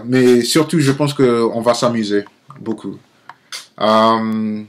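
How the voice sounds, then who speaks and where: A man in his twenties with an American accent, a native English speaker born in Nigeria, talking casually to camera as an amateur vlogger, haltingly searching for words and correcting himself. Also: medium pitch. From Nigeria-born, grew up in the United States. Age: 20s. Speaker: amateur video blogger.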